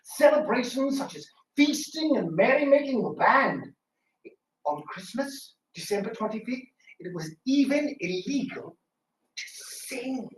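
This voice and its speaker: A man's voice: very high-pitched, lively intonation, unhurried. A man co-presenting a scripted talk with banter, like a theatre performer. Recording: roomy, distant mic.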